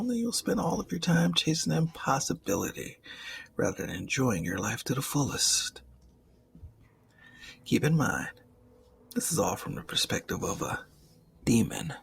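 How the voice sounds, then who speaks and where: Casting: male actor as sly, condescending age-old demon for ASMR roleplay; very creaky, breathy, expressive delivery. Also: medium pitch, a little hiss or hum, heavily acted. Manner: drawn-out, theatrical, formal wording, half-whispered phrases.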